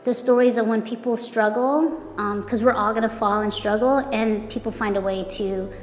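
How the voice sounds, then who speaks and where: A woman's voice: fairly high pitch, steady tone, normal speed, echoey room, long reverb tail, faint music behind. A woman speaking encouragingly in an interview about community and resilience. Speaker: interviewee, runner.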